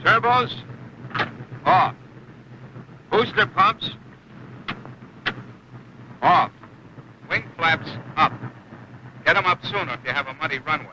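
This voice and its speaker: A man's voice: on the high side, expressive, slow pace, long pauses, highs cut off. A man playing a seasoned, exacting bomber flight instructor in a 1940s training film, with a clipped, instructional manner. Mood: brisk, firm. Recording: old 1940s film soundtrack, engine noise.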